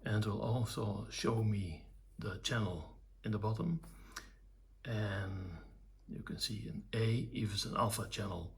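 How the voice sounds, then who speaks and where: An older man giving an informal technical demonstration, explaining things in short, halting, measured phrases. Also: lowish voice, gravelly, breathy edge. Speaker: electronics hobbyist presenting own project.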